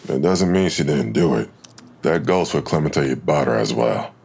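Audio drama detective: very low, husky, dry and probing, pressing with suspicion. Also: male voice, animated, moderate pace, darkish tone, full character voice. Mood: dry, insistent. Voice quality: gravelly. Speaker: actor in a fiction podcast. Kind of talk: naturalistic audio drama acting.

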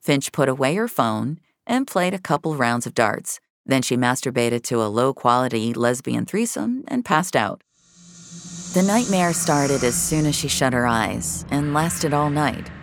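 A woman's voice: rumbling low, lively intonation, measured pace. A woman reading scripted audio fiction as the narrator.

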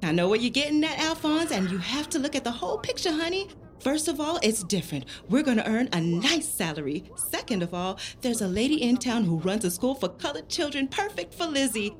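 An actress playing a practical, hopeful wife in a period audio drama, folksy and persuasive. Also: female voice, sits high, big pitch swings, a little fast.

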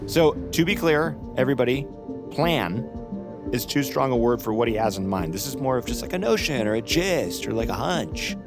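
An actor playing a sarcastic, anxious captive in an audio drama, halting and repeating himself in exasperation. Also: male voice, mid-range voice, lively intonation, natural voice. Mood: sarcastic, uneasy. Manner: halting, repetitive, exasperated, sardonic. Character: sarcastic, anxious male captive plotting escape. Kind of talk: naturalistic audio fiction acting. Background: prominent music.

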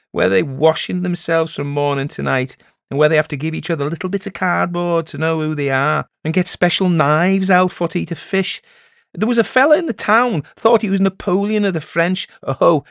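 A man's voice: on the high side, creaky, a bit bright, no room sound, clean studio sound, voice pushed up. A man voicing a big, proud village leader in a storybook tale, commanding, blustering and mocking. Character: big, domineering village man, gruff, scornful, proud charm-worker. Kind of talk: storybook character voice in a narrated tale.

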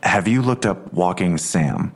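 A terse skeptical cop in an audio drama, deep n gravelly, dry and understated. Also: male voice, animated, unhurried, full character voice. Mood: dry, probing. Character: terse, skeptical male police detective, a father. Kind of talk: full-cast audio drama dialogue.

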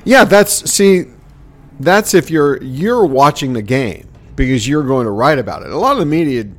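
A man's voice: a little high, lively intonation, moderate pace. A man co-hosting a casual sports podcast, teasing and asking lots of questions. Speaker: podcast co-host.

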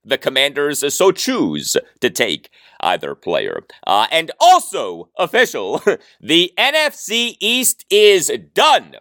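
Animated American man hosting a sports podcast. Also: a little high, slow, no echo, studio quality.

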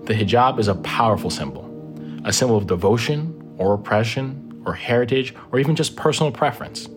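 A man hosting a podcast, giving a measured, reflective scripted narration full of rhetorical questions. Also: medium pitch. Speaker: podcast host. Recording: music bed behind the voice.

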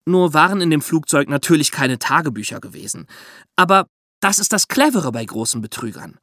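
Male podcast host, higher register, highly animated, conversational true crime explainer, dry sound. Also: dry recording.

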